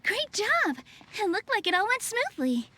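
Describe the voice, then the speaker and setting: A woman voicing a gentle, modest young woman in a video game, soft, polite and a little hesitant. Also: very high voice, big pitch swings, unhurried, heavily acted. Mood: friendly.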